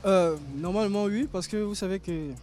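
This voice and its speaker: A woman's voice: mid-range voice, normal speed, dark tone, faint music behind. Hesitant woman in a street poll. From Gabon. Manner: hesitant, reflective. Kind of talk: vox pop answer.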